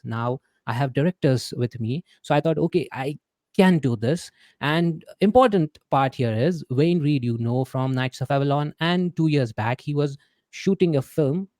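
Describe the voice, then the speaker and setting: A man's voice: mid-range voice, darkish tone, no room sound, clean studio sound. A man hosting a podcast interview, talking in a chatty, rambling way with many fillers. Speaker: podcast host. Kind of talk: casual interview chat.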